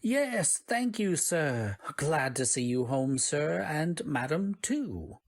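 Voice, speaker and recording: A man's voice: fairly high pitch, big pitch swings, slow pace, exaggerated voice. A man playing an elderly, kindly butler with a formal, deferential manner in an old-time radio drama. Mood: warm, deferential.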